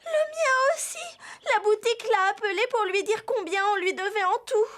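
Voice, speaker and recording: A woman voicing a pampered, excitable young rich girl in a cartoon dub, girlish and self-absorbed. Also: very high-pitched, moderate pace. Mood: distraught. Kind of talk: French cartoon dubbing.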